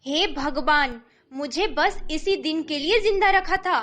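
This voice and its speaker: A woman playing a bossy, exasperated middle-aged Indian mother in an animated family melodrama, speaking emphatically. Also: high voice, unhurried, soft background music. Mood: shocked, angry.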